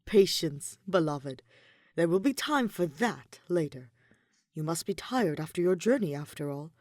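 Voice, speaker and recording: A woman voicing an earnest, tender young man in love, speaking with gentle formality in a story reading. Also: on the low side, expressive, measured pace, no room sound, clean studio sound. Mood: gentle, reassuring. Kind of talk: audiobook-style fan fiction character reading.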